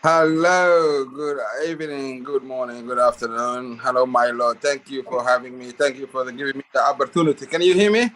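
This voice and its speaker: A man originally from Somalia, living in Australia, speaking earnestly on a group call with frequent pauses and fillers. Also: mid-range voice, fairly even intonation, a bit bright, rough recording. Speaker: guest caller. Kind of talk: casual call-in talk.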